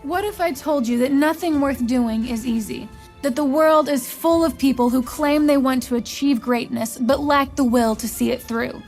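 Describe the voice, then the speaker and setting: High-voiced, solemn fantasy visionary. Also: female voice, darkish tone, soft background music. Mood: solemn, persuasive. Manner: measured, portentous, rhetorical. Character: solemn, commanding female visionary mentor recruiting chosen leaders. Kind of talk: scripted fantasy game voice lines.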